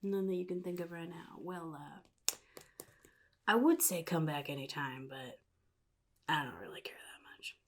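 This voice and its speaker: A woman playing a sly, chaotic supervillain in a soft-spoken ASMR roleplay, often dropping into a breathy whisper with a casual, menacing drawl. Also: low voice, expressive, unhurried, long pauses, a little hiss or hum. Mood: teasing, aloof. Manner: casual drawl, offhand, slyly threatening.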